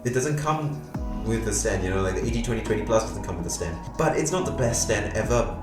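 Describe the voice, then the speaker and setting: A man with a booming, low, slightly hoarse voice reviews a USB microphone in a casual, dryly sarcastic way, tired late at night. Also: lively intonation, quick pace, roomy sound, music in the background. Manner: dry, sarcastic humor. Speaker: tech reviewer. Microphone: USB condenser microphone close-up.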